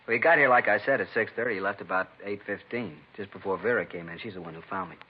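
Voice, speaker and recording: An actor playing a plain-spoken bakery owner in a 1950s radio drama, casual and matter-of-fact about being robbed. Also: male voice, on the low side, fast, husky. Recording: dull, noisy old radio recording.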